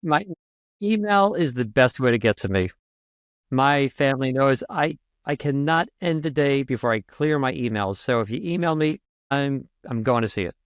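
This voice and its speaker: A man speaks as a guest coach in an interview, animated and quick to joke, and his voice jumps upward when he stresses a point. His pitch sits in an ordinary middle range, and the sound is dry, with no room echo. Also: smooth. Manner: animated and joking, big pitch jumps for emphasis. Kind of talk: conversational interview.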